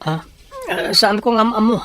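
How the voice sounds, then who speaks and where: An actress playing a hesitant person asking for help in a serial audio drama. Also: female voice, medium pitch, unhurried, a crowd in the background, natural voice.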